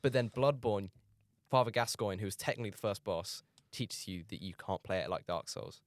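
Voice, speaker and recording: A man chatting casually in a group, animated and swearing freely. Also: fairly low pitch, normal speed, dry recording. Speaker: podcast co-host.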